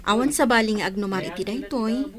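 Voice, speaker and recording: A woman playing a gentle, grateful young student in an audio drama. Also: on the high side, fairly quick, crowd noise, lightly acted. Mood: friendly, grateful. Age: young adult.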